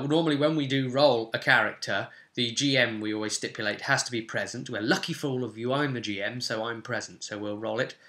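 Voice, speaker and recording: A young man with a crisp, bright voice at a medium pitch talks viewers through tabletop game rules as their game master. He is casual and chatty, slipping in dry little asides as he explains. He sounds like he is recording at home, a short way from the microphone. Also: slight background noise. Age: young adult. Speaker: game master and channel host. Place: small furnished room at home, indoors.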